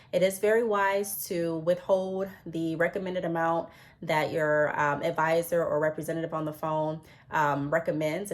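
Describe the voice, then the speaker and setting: Congested woman giving casual advice, lowish voice. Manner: chatty, frequent ums, reads from notes. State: congested, sick with a cold. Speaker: business vlogger. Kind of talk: casual advice talk to camera.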